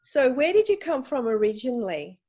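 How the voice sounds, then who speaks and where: A woman's voice: high-pitched, very animated, normal speed, dark tone, muffled recording. A woman interviewer, eager and enthusiastic, doing her first interview. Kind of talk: casual interview.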